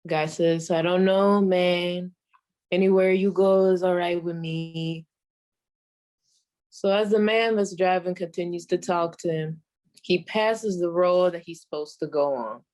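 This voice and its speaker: Sassy old female stand-up played by a woman, 1940s radio act, low-pitched, very unhurried, folksy deadpan storyteller. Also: close to an everyday voice. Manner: folksy, deadpan, drawn-out delivery with deliberate pauses. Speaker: comedian performing a monologue.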